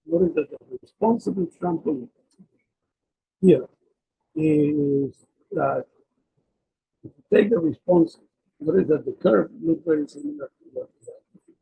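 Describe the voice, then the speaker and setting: A man giving an explanatory academic slide presentation, speaking haltingly and often repeating and rephrasing himself. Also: high-pitched, big pitch swings, vocal fry, breathy edge, phone-quality sound. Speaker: presenter of a technical talk.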